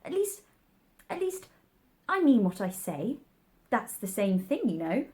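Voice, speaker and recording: Woman doing prim curious little storybook girl, proper n emphatic, lively pitch, takes her time. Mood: hasty, defensive. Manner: proper, emphatic, quick to object.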